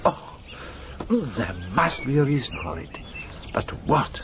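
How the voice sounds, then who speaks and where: An actor playing a shrewd, methodical foreign detective in a radio drama, deliberate and courteous, musing aloud in rhetorical questions. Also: male voice, medium pitch, big pitch swings. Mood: pondering, explaining. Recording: dull radio recording, clearly noisy background.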